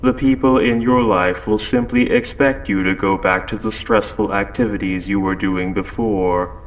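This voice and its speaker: A man voicing a calm, possessive spaceship AI in an audio roleplay, speaking in a measured, formal, softly coaxing way. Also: fairly low pitch, gravelly, slight background noise, poor audio. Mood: probing, persuasive. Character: calm, possessive spaceship AI turning quietly obsessive. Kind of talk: scripted audio roleplay monologue. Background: ambient sound effects.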